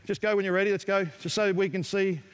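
Brisk Australian man coaching basketball. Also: sits high, steady tone, clean tone. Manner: brisk, direct, calls out drills to players. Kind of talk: coaching clinic instruction. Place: large indoor basketball gym. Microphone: headset mic.

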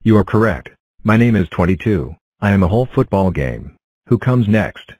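A man with a low voice reads simple cartoon lines in a plain, even way. The sound is dry and close. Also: normal speed, dry recording, dull audio. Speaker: narrator voicing number characters. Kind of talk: fan cartoon voice-over.

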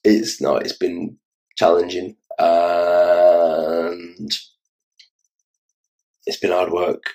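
A tired Irish man in his forties with a Dublin accent, talking casually and haltingly to his phone camera in a small room. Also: sits low, level tone, warm tone. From Ireland. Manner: rambling, halting, self-mocking. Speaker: children's entertainer and vlogger. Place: small room indoors, in an office chair. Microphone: phone camera held close.

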